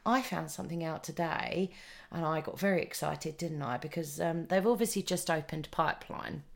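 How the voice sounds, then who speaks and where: A woman's voice: low voice, moderate pace, creaky. A woman hosting a podcast in a casual, chatty way. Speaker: podcast host.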